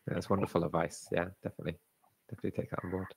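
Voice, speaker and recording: A man's voice: sits low, little pitch movement, rapid-fire, gravelly, breathy edge, no echo. A man hosting a live careers webinar, speaking in a friendly way, hedging and repeating words. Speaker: webinar host. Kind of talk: hosting a live online session.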